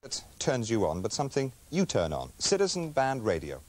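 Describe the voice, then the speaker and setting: A middle-aged man presents a television programme in a studio. His scripted delivery moves up and down freely in pitch. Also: wildly swooping pitch, moderate pace.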